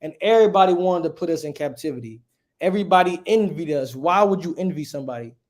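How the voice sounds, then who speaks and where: A man's voice: fairly high pitch, animated, fast, a little mellow. A man teaching a livestreamed religious history class, reading passages aloud and preaching emphatically, often checking with "right?".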